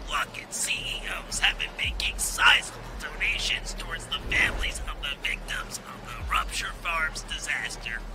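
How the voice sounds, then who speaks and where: A man's voice: sits high, full character voice. An actor playing a male newscaster in a video game, delivering reports in a dry, mock-solemn way.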